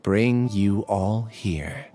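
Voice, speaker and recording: An actor playing an earnest, troubled young male scholar in an anime-style video game, with measured, formal delivery. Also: lowish voice, mellow, faint music behind. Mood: resigned.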